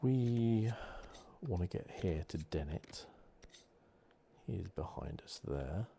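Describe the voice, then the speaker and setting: A man giving relaxed, rambling ASMR commentary on a video game playthrough. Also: low-pitched, takes it slowly, a little hiss or hum. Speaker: gaming channel host. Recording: clear game ambience behind close commentary. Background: video game sounds.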